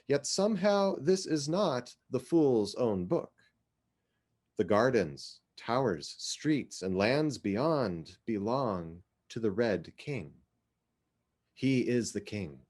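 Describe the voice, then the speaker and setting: A man giving a dramatic literary reading of poetry, with measured, deliberate, theatrical phrasing. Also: mid-range voice, a little mellow. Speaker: literary reader.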